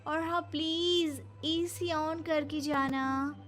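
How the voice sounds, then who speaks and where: A woman voicing a spoiled, bossy young fiancée in an affected, whiny, drawn-out way for an animated moral story. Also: sits high, pitched above the performer's own voice, heavily acted. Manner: affected, whiny, drawn-out, mixes in English words.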